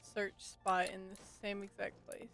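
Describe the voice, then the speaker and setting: A woman's voice: mid-range voice, flat delivery, measured pace, a bit bright, soft background music. A woman game streamer giving casual, wry commentary and reading text aloud.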